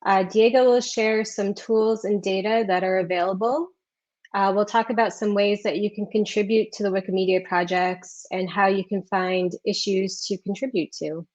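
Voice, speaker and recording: A woman gives a friendly presentation on a video call, dotted with ums. Her voice sits at a middle pitch, and the lo-fi call audio dulls it. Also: steady tone, moderate pace, a little mellow. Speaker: research community officer. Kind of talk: presentation talk.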